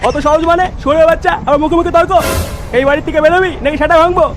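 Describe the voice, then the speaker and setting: A man voicing an irascible, foul-mouthed parent in a comedy cartoon, scolding and bullying in a pitched-up voice. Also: steady tone, rapid-fire, over music. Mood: angry scolding. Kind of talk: exaggerated comedy cartoon acting. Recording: noisy track with street and vehicle ambience.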